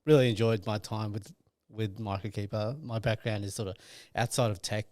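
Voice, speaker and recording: A man's voice: on the low side, fairly even intonation, moderate pace, a little mellow, no room sound, clean studio sound. A man talking casually as an interview guest, in a loose conversational way with hedging phrases. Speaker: company sales and partnerships head, podcast guest.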